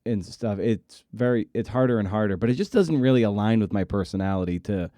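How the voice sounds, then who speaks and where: A man's voice: on the low side, brisk, warm tone, dry recording, studio quality. A man hosting an interview podcast, chatting casually and emphatically, repeating words for stress and poking fun at himself.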